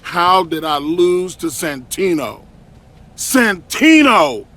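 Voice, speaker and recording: A man's voice: high-pitched, sing-song, slow, fairly bright tone, full character voice. A man playing a flustered, easygoing wrestler backstage in a video game cutscene, talking in an informal, slangy way. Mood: dismayed.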